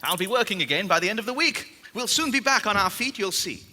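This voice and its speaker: A man's voice: high-pitched, expressive, fast talker, pushed and bright, exaggerated voice. A man acting on stage as a posh, naive middle-class father, formal and earnest, with an upper-class southern English accent. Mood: earnest, insistent. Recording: echoey theatre hall.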